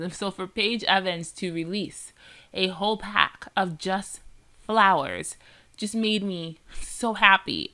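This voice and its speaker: A woman's voice: medium pitch, sharp tone. A woman with a nasal, congested voice from a cold, chatting casually as she narrates her scrapbooking process, rambling with lots of "like" and "um". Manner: chatty and rambling, often saying "like" and "um". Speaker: scrapbooking vlogger.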